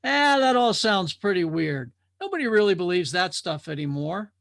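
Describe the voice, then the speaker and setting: A man's voice: sits high, lively intonation, moderate pace, creaky, fairly bright tone, exaggerated voice. A man voicing young, skeptical drifters in a storybook parable, with a brash, questioning delivery. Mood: doubtful. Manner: questioning, incredulous.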